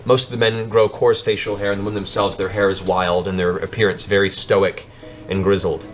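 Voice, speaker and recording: Low-voiced man narrating fantasy over music. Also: level tone, fairly quick. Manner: descriptive, dramatic storytelling. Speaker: game master. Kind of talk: improvised tabletop fantasy narration.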